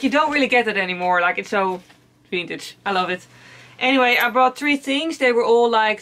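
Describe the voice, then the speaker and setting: Bright-voiced young woman chatting casually. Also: a little high. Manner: chatty and rambling, many fillers and repeated words. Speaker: vlogger. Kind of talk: casual haul vlog chat. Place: cluttered attic room at home.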